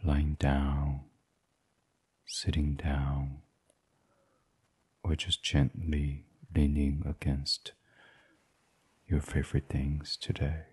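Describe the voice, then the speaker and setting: Man, very husky and breathy, slow unhurried delivery, soothing bedtime meditation guide. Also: extremely low pitch, monotone, a little mellow. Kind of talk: gentle guided meditation reading for sleep.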